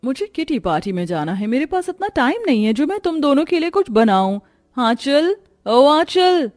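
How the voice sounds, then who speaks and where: A woman's voice: sits high, very animated, moderate pace, a little mellow, faint music behind. Haughty rich mistress played by a woman, snappish. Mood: impatient. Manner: snappish, scornful.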